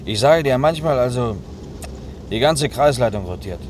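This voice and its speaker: A man's voice: medium pitch, lively intonation, normal speed, a little mellow, natural voice. Guy acting a careful dutiful driver in a radio play, medium voice, matter of fact, engine noise behind him. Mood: earnest, admonishing. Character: cautious, dutiful male chauffeur of a party official. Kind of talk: naturalistic German radio drama acting. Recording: clear vehicle noise behind.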